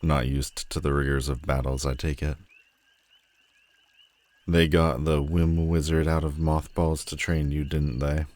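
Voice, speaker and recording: Man acting a very deep-voiced sardonic supervillain. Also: flat delivery, darkish tone. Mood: mocking. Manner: mocking, slow deliberate delivery with dramatic pauses. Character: sardonic, menacing male telepathic supervillain, world-weary and theatrical. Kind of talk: scripted audio roleplay addressed to the listener.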